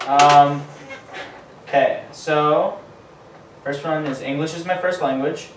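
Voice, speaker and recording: A man's voice: fairly high pitch, slow pace, background noise. A young man whose first language is Telugu, co-hosting a club podcast in casual, joking chat. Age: young adult. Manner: lively, joking. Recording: echoey room from a distant mic.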